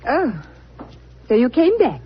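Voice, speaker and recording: An old, muffled radio recording with light hiss carries a bold young lady. Her voice is fairly high and swoops dramatically, brisk and self-assured in 1940s radio style. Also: huge pitch swings, breathy edge, exaggerated voice. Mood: breezy, challenging. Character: bold, cool, scheming young lady, pistol-shooting niece.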